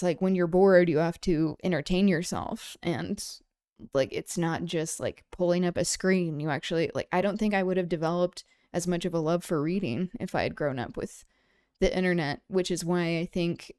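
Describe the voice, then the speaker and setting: A woman hosting a livestream, thinking aloud in a casual, discursive and halting way. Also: on the low side, dark tone, clean tone, no room sound, clean studio sound. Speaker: livestream host. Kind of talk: casual livestream chat, reflective commentary.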